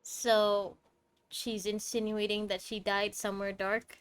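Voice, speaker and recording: A young woman streamer casually thinking out loud while playing a story game, correcting herself as she goes. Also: medium pitch, little pitch movement, a little slow, clean tone.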